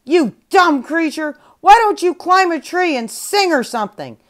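Sleepy grumpy panda girl voiced by a woman, whiny, eager, raised pitch, louder than the telling. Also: very animated, a little slow. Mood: angry.

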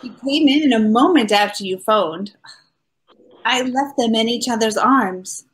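A middle-aged actress playing a poised, playful detective's wife in old-time radio mystery comedy. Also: female voice, a little high, unhurried, close to an everyday voice. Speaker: actor. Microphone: video call.

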